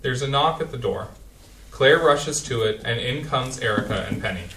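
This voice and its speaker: A reader speaking the stage directions aloud in a plain, even way during a play reading. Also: mid-range voice, moderate pace, a little hiss or hum. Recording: echoey hall, voice from far off.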